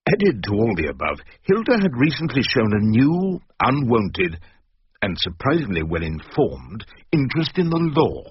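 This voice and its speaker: A man's voice: mid-range voice, wildly swooping pitch, moderate pace, breathy edge. An older man with an English accent playing a wry, stubborn, eloquent barrister in a radio drama, orotund and theatrical. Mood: wry, rhetorical. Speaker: narrator and lead character. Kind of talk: radio drama narration and dialogue. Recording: muffled radio recording, faint noise.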